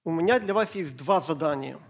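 A middle-aged man, Ukrainian his first language, preaching a church sermon in short phrases with pauses for an interpreter. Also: fairly high pitch, expressive. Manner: slow, halting German, pausing phrase by phrase. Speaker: pastor.

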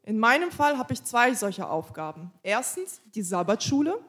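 A woman interpreting a pastor's sermon live in church, quick and casual, with small slips and restarts. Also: on the high side, sing-song, a bit bright.

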